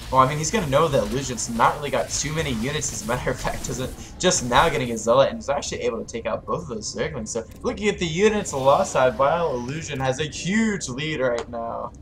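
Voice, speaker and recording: A man's voice: mid-range voice, quick pace, hardly any pauses, a little hiss or hum. Esports caster man losing his voice. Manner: rising excitement at big plays. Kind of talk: live game commentary.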